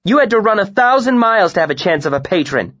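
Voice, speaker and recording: A man voicing a proud, sharp-tongued young woman who speaks with biting scorn. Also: on the high side, lively intonation, normal speed, slightly rough, dry recording, lo-fi sound, pitched above the performer's own voice. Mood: scornful anger.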